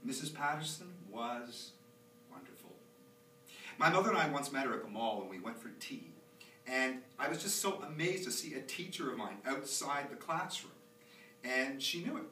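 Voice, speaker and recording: A man's voice: medium pitch, animated, normal speed, sharp tone, faint music behind. A man performing a theatrical monologue as an anxious, chatty, nostalgic young dad, rambling expressively in a live reading. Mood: fond, nostalgic. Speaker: author performing own monologue. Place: small theatre stage, recorded live from a distance. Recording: roomy, distant, noisy live theatre recording.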